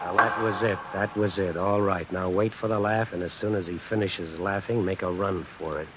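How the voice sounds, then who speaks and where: A man's voice: low-pitched, steady tone, very noisy, lightly acted. A man playing a cornered tough guy who narrates his predicament in a colloquial, brooding way in an old radio suspense play. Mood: tense counting. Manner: colloquial, deliberate, brooding inner monologue. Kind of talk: 1940s-50s radio suspense acting, first-person narration. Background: music and rain sounds.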